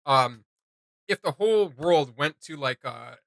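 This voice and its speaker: A man's voice: fairly high pitch, animated, a little slow, vocal fry, fairly bright tone, dry recording, clean studio sound. A man chatting casually as co-host of a reaction video, rambling and often searching for words.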